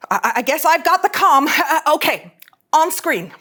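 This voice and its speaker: A woman playing a cocky, teasing young starship officer in a sci-fi cartoon table read, casual and excitable. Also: sits high, measured pace, heavily acted. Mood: urgent, bluffing.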